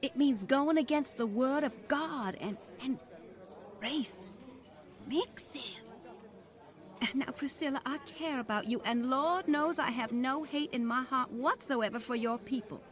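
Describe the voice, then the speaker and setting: A high, fluttery voice for a genteel grandmother of means, acted by a woman. She is prim and condescending, wrapped in affected politeness and easily flustered. The delivery is old-time radio drama. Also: a little slow. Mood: anxious, disapproving. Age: older.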